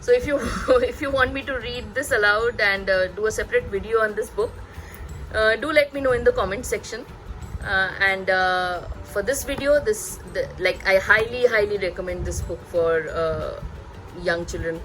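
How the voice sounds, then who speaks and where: A woman in her thirties, a native Bengali speaker, chatting hesitantly as a parenting vlogger, pausing and repeating words. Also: medium pitch, fairly bright tone, faint music behind, rough recording. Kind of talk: casual chatty vlog recommending books.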